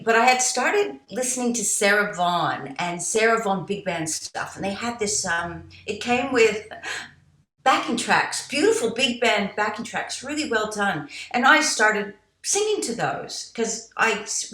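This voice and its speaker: Casting: middle-aged woman interview guest, bright forward voice, animated storyteller, roomy echo. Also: on the high side. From United States. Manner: animated, tells stories with quoted asides and laughs. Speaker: interview guest, jazz singer and songwriter.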